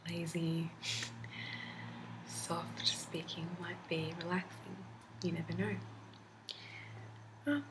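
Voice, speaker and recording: An Australian woman whispers close to the mic, her voice sitting a little low. She rambles gently and pauses often on hesitant ums. A steady noise hums behind her. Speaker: ASMR creator. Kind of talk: whispered ASMR talking video.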